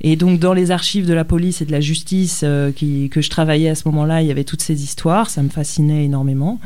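A middle-aged woman historian, a guest on a radio interview, who explains at length with frequent thoughtful pauses and hesitations. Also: sits low, fairly even intonation, dark tone. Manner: thoughtful, many hesitations with "euh". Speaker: historian, university lecturer.

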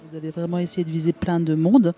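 Brief: male radio host, flat mellow delivery, faint music underneath. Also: a little high, fast talker. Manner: informal, probing questions. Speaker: radio interviewer. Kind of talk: casual radio interview.

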